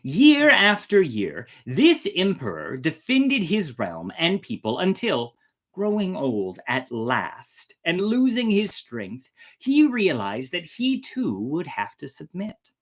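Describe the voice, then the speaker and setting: A woman storyteller with a slightly low voice and very animated intonation. She takes her time over each phrase, theatrical and deliberate, as she hosts and reads a fairy tale. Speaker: storyteller and host. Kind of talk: expressive storytime reading of a fairy tale with casual asides.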